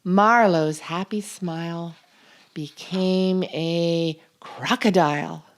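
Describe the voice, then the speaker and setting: A woman reading a children's picture book aloud as a storytime host, expressive and deliberate, drawing out key words. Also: on the low side. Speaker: storytime reader and channel host.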